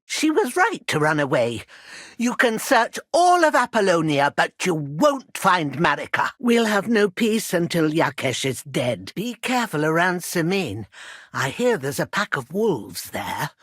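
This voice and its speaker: A woman's voice: fairly low pitch, sing-song, a little slow, fairly bright tone, no room sound, clean studio sound, exaggerated voice. An actress playing a worried old village herbwoman in a video game, protective and proud, with a plain-spoken rustic manner. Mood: somber, wary. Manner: rustic, plain-spoken, folksy turns of phrase. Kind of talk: scripted video game character dialogue.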